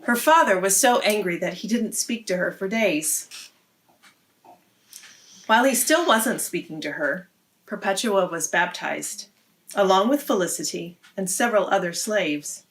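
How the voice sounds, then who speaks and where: A woman's voice: medium pitch, expressive, unhurried, a bit bright, rough recording. A woman hosting a read-aloud, narrating a story for children.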